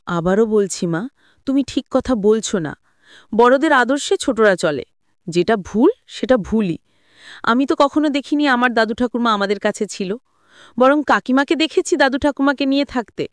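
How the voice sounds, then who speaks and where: A woman voicing a principled young married daughter in an audio story, earnest, gently firm and reasoning. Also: a little high, animated, moderate pace, clean tone, no echo, clean studio sound, natural voice.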